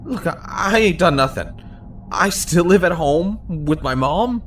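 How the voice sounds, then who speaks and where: A man's voice: fairly high pitch, lively intonation. A man playing a bewildered, defensive twenty-two-year-old slacker in an audio drama, slangy and incredulous. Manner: slangy, incredulous drawn-out delivery. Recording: faint background noise.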